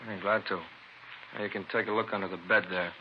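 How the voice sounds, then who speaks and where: A man's voice: low-pitched, fairly even intonation, fast talker, lightly acted. A man playing a methodical arson squad investigator in a 1950s radio crime drama, explaining in short, matter-of-fact phrases. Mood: explanatory. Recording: dull, faintly noisy vintage radio recording.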